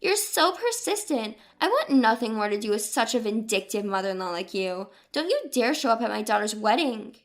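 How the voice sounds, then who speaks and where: A woman voicing a brazen, quick-tempered middle-aged daughter-in-law in a storybook narration, defiant and dismissive. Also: on the high side, sing-song. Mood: lashing out.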